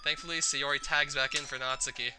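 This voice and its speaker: A game player reading a visual novel's narration aloud in an expressive storytelling style. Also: mid-range voice, fairly quick, over music.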